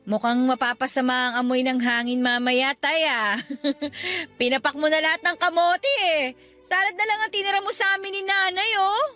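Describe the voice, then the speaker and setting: A woman's voice: high-pitched, steady tone, moderate pace, pushed and bright, soft background music. A woman playing a joking, affectionate young Filipina daughter in a Tagalog radio drama. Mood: cheeky. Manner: joking, mock-complaining.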